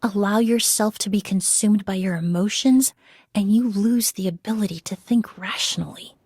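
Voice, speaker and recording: A woman voicing a composed, analytical investigator in a video game, with a measured, reflective and articulate delivery. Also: medium pitch, a little mellow, slightly rough, no echo, clean studio sound, natural voice. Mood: calm, solemn. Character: composed, analytical woman, crime scene cleaner turned investigator.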